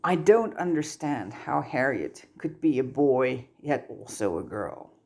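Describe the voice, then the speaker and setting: A woman plays a young male student in a storybook reading, low in pitch. He sounds awkward and earnest, hesitant and easily flustered. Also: big pitch swings, unhurried, a little mellow, exaggerated voice. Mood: ashamed, muttering.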